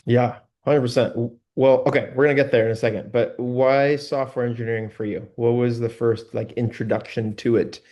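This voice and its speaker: A man's voice: medium pitch, level tone, fairly quick, hardly any pauses, a little mellow. A man hosting a podcast, chatting with a guest in an upbeat, friendly interviewer's way. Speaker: podcast host.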